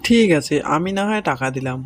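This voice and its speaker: A man's voice: on the high side, lively intonation, fast talker, a little hiss or hum, natural voice. A man voicing a greedy, haughty village landlord in a cartoon story, domineering and dismissive. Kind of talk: Bengali cartoon story voice acting.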